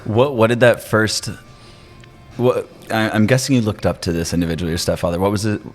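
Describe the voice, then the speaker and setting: A man's voice: on the low side, fairly even intonation, brisk, faint music behind. A man hosting a podcast, asking a guest curious, prompting questions. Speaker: podcast host. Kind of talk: interviewing a guest.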